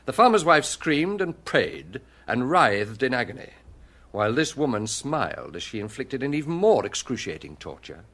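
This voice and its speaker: A relentless police detective in a radio drama. His pitch is middling but swings widely as he presses on, deliberate and sardonic, with a hard edge. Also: big pitch swings, fairly bright tone. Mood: grim, pressing. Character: relentless, hard-edged male police detective interrogating a suspect.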